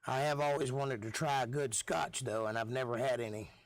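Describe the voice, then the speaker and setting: A middle-aged man, hoarse from losing his voice, chatting casually with viewers as host of a live stream. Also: mid-range voice, a little fast. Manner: laid-back, chatty, folksy.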